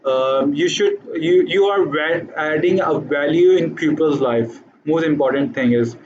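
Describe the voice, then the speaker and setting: A man's voice: a little high, measured pace, muffled recording, noisy background. A man with an Urdu accent, a native Urdu speaker, giving a hesitant motivational talk to camera, full of fillers and restarts. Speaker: vlogger.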